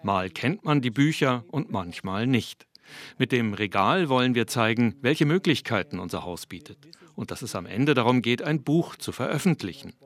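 Lively man voicing a dubbed interview. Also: medium pitch, moderate pace. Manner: conversational, explanatory. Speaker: voice-over translator.

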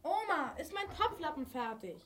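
An actress in a radio play playing a small, pleading child with an insistent, childlike manner. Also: female voice, high-pitched, big pitch swings, moderate pace, fairly bright tone, slight background noise. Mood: insistent, plaintive. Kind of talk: German radio play acting.

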